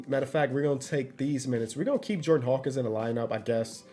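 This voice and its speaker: A man's voice: on the high side, a little mellow. A congested man giving casual, slangy commentary while playing a basketball video game. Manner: loose, slangy and upbeat. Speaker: gaming YouTuber.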